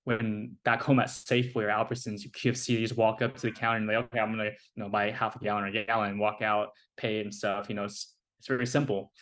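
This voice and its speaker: Relaxed young American man, low voice. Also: quick pace, hardly any pauses. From United States. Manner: relaxed, filler-heavy speech with many "like" and "you know". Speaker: guest. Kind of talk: casual conversational interview.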